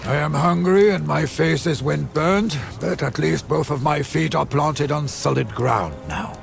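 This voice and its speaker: An actor playing a wise, wry and devoted servant of a Roman noble house, measured and courteous, in a game. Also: male voice, a little high, vocal fry. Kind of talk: scripted game dialogue.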